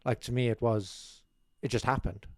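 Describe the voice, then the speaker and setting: A man's voice: medium pitch, steady tone, normal speed, darkish tone, dry recording, clean studio sound. A young man from South Africa, a podcast guest talking casually and modestly about his life, often restarting his sentences. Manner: modest, rambling with many restarts. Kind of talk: casual interview chat. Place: small studio room with curtains. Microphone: desk mic on boom arm, headphones.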